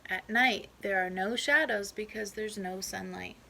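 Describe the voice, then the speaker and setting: Bright-voiced woman reading to children. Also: medium pitch, measured pace, gravelly, slight background noise, poor audio. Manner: instructive, checking in with young listeners. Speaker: first grade teacher reading aloud. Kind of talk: children's storybook read-aloud with explanatory asides.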